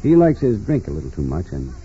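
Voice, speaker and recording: Dignified judge, very mellow and dark, lively intonation, courteous, 1940s radio drama. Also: male voice, sits low. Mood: measured, confiding. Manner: measured, hesitant, courteous. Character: dignified, formal older male judge. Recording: muffled, noisy 1940s radio recording.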